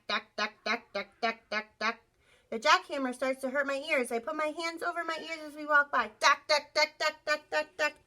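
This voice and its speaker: A woman teacher reading a picture book aloud to young children in an expressive storytelling style, acting out sound effects. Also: on the high side. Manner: animated, acts out sound effects, asks listeners questions.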